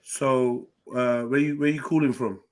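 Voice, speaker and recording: A man's voice: medium pitch, steady tone, unhurried. A man hosting a live panel in a casual, slangy, informal way. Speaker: live panel show host.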